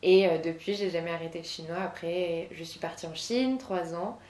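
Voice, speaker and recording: A woman's voice: fairly low pitch, normal speed, a little mellow, echoey room. A woman in her twenties from southern France, answering interview questions as a guest with many hesitations. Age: 20s.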